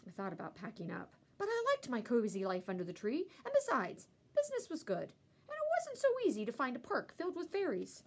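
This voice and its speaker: A woman narrates a children's story as a teacher would, with expressive, engaged delivery. Her voice is high and very lively in pitch, with some creak and huskiness. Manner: animated, pausing to ask listeners questions. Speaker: elementary school teacher reading aloud.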